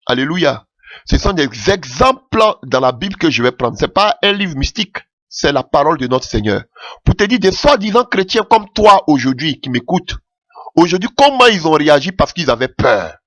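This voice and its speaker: A man's voice: big pitch swings, moderate pace, a bit bright, dry recording. A man preaching a sermon with passion, calling out alleluia often as his voice rises. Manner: impassioned, rising pitch with frequent alleluias.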